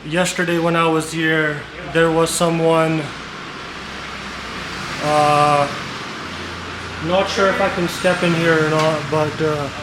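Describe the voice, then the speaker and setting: A man's voice: on the high side, background noise. A man from Canada casually narrating a walking vlog outdoors on narrow town streets. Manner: relaxed, unhurried narration to camera. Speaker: vlogger.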